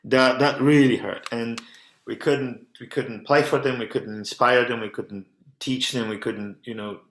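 A man's voice: mid-range voice, steady tone, measured pace, fairly bright tone, slight background noise. A man from Poland, a clarinet professor answering radio interview questions at length, often restarting and repeating words. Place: remote call into a radio show. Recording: roomy echo.

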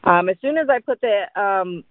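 A woman's voice: medium pitch, lively intonation, normal speed, dry recording. A woman giving informal sales training in an explanatory way, often checking in with short tags as she goes. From born in India, grew up in Dallas, Texas. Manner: explanatory, with frequent check-in tags like "right?" and "okay?". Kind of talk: informal instructional talk. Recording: narrow-band phone-line sound.

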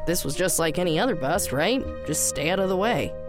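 Low-pitched woman narrating fiction. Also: animated, fairly quick, music in the background.

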